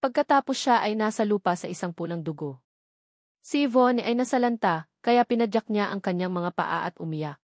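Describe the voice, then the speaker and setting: Female narrator for serialized fiction: medium pitch, scripted delivery, dry, echo-free sound. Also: animated, moderate pace. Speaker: audiobook narrator.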